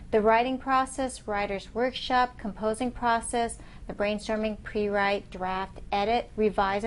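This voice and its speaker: A woman professor giving a recorded lecture over slides, reading points aloud in a measured, explanatory way. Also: mid-range voice, a little hiss or hum.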